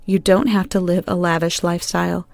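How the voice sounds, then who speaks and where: A woman's voice: on the low side, little pitch movement, brisk, gravelly, darkish tone, dry recording, studio quality. Stern, unforgiving wronged wife played by a woman. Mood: firm. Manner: stern, decisive.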